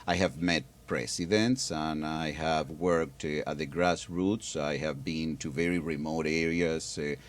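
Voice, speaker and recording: A man with a very low, deep voice talks in a measured, explanatory way, often pausing and restarting his sentences. He is a middle-aged guest from Argentina on a public affairs interview program. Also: steady tone. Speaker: guest expert.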